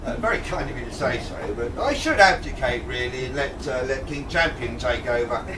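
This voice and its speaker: A man's voice: mid-range voice, expressive, moderate pace, lightly acted. A man playing a forgetful, pompous old king in an amateur pantomime, muddled, hesitant and blustering. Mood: weary, muddled. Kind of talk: amateur pantomime stage acting. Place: amateur show in a pub, with audience. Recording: distant mic in noisy hall with audience.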